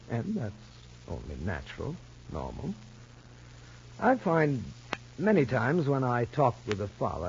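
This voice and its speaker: An actor playing a measured, probing child psychologist in a 1950s soap opera, formal and deliberate. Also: male voice, mid-range voice, darkish tone. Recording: muffled vintage broadcast with faint noise.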